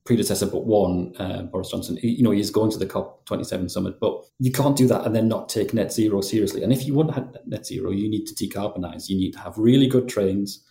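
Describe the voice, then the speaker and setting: Man with a slight Geordie accent, low voice, news podcast co-host, restarts a lot, roomy echo. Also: fast, creaky, few pauses, mellow. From North East England. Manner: chatty, frequent restarts and repeats. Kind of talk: casual news discussion.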